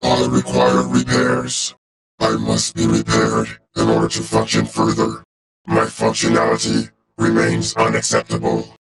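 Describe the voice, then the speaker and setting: A man voicing a cold, loyal robot warrior for a video game, speaking in a clipped, formal, deadpan way through a robotic filter. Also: rumbling low, a little slow, creaky, heavily acted, low-quality recording. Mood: clinical, detached. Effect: robotic vocoder filter.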